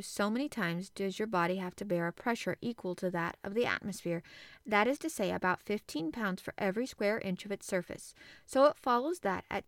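A woman's voice: medium pitch, dry recording, studio quality, lightly acted. A woman voicing a learned male naturalist professor in a storybook reading, explaining patiently and earnestly.

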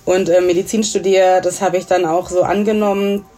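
A woman's voice: fairly low pitch, level tone, a little fast, dark tone. A thirty-year-old woman from Germany chatting casually as an interview guest, mixing English words into her talk. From Germany, grew up in Essen.

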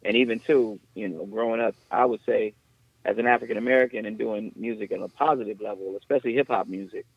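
A man's voice: lowish voice, steady tone, fast, gravelly, breathy edge, no echo. A man from Texas, a songwriter, chatting casually on a phone call in a laid-back, drawling way. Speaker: songwriter guest. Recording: dull, lo-fi phone line.

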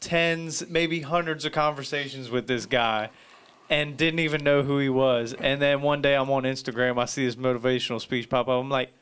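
A man's voice: medium pitch, steady tone, moderate pace, fairly bright tone, clear, resonant tone. A man hosting a podcast, asking questions in an easygoing, conversational way. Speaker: podcast host. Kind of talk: casual podcast interview.

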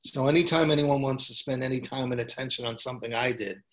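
Gravelly-voiced man chatting casually. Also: medium pitch, fast, a little hiss or hum. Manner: relaxed, rambling storytelling. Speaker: songwriter and guest. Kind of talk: casual chat. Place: at home with guitar.

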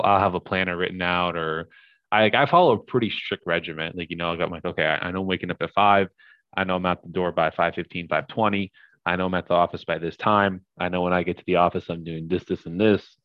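A man's voice: low-pitched, steady tone, fast talker, no echo, highs cut off. A young man, a podcast guest, talking casually in a rambling, upbeat way with lots of fillers. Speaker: podcast guest, crypto investor.

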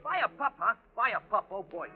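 An actor playing an eager, simple-hearted city cab driver in an old radio drama, excitable and repeating his phrases. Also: high-pitched, fairly quick, soft background music. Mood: delighted. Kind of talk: 1940s radio comedy-drama acting. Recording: noisy, dull old radio recording.

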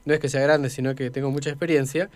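A man's voice: mid-range voice, steady tone, fast, dry recording. An Argentine man hosting a conversational radio interview in a chatty, informal way. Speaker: radio host.

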